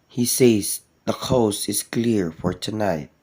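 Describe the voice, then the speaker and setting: A man acting in a radio play as a cold, businesslike crime boss, measured, matter-of-fact and commanding. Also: fairly low pitch, a little mellow, slight background noise. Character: cold, businesslike criminal boss arranging a killing.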